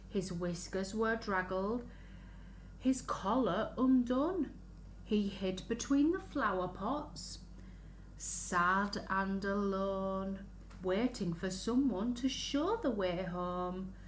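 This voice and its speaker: A woman reading a picture book aloud to young children in an expressive, sing-song way, stopping to ask them questions. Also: medium pitch. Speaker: children's story reader. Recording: roomy echo, faint background noise.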